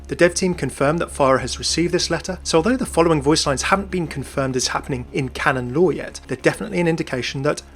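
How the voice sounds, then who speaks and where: Guy doing chatty game lore commentary, talks quick, music underneath. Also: on the high side. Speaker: video presenter.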